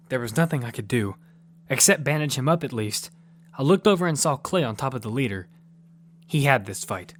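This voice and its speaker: A man's voice: medium pitch, a little hiss or hum. A man earnestly narrating a dramatic first-person action story in scripted audio fiction. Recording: loud music bed behind the voice. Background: loud music.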